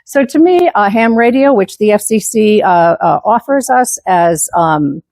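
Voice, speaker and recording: A woman's voice: mid-range voice, expressive, a little slow, darkish tone, no room sound. An older woman presents to a conference room in the tone of a former teacher. She stops often on fillers and restarts her sentences, and her mid-range voice sounds dry and close. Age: 70s. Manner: many filler words and restarts; former teacher who moves about while speaking. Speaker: section manager giving a club presentation. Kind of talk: conference talk.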